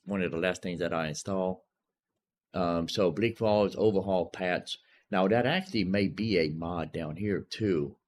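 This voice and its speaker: A man casually commentating as a gaming streamer, thinking aloud and informally talking through each step. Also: low voice. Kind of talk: casual gameplay and modding commentary. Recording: clearly noisy background.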